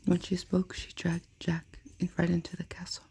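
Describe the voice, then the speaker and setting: A woman softly whispering a fairy tale aloud, as in an ASMR reading. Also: fairly low pitch, monotone, moderate pace. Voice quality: breathy. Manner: soft unvoiced whisper. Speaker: storyteller.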